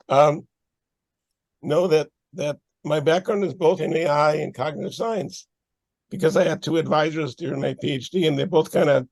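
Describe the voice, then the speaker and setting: A hoarse man speaking as a panelist over a video call, reflective and a little jokey. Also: fairly high pitch, darkish tone, dry recording, rough recording. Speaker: panelist, AI and cognitive science background. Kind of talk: panel discussion remarks.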